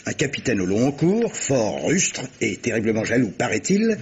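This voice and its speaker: A man's voice: mid-range voice, big pitch swings, moderate pace, slightly rough, full character voice. A man playing a shrewd, genial army captain and amateur detective in a 1950s-style French radio drama, emphatic and coaxing. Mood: deferential, persuasive. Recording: muffled old radio recording.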